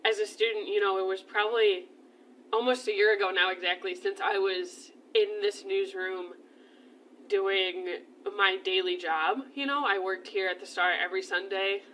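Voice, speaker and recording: A twenty-two-year-old woman speaks earnestly in a mid-pitched voice inside a newsroom. The mic sits at a distance and faint noise hangs around her. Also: steady tone, normal speed, fairly bright tone. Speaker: student journalist. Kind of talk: casual interview answers.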